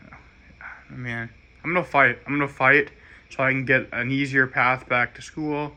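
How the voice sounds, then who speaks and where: An American man giving a rambling, halting personal monologue in an earnest, aggrieved manner. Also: medium pitch, pushed and bright.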